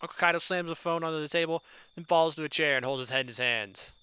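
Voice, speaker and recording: A man's voice: on the high side, fast talker, bright, forceful tone, smooth, no echo, highs cut off. A young man doing casual gameplay commentary and reading visual novel text aloud. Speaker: let's player. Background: game music.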